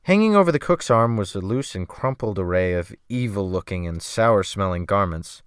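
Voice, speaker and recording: A man's voice: lowish voice, expressive, normal speed, clear, resonant tone, no echo. A man reading a dramatic first-person audiobook narration, close to the microphone. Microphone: close USB desktop microphone.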